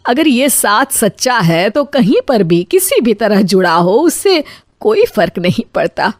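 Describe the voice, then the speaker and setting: A woman's voice: mid-range voice, sing-song, a little slow, no echo, studio quality. A woman playing a domineering older mother-in-law in a dramatized family story, with a dramatic, scolding manner. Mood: reflective.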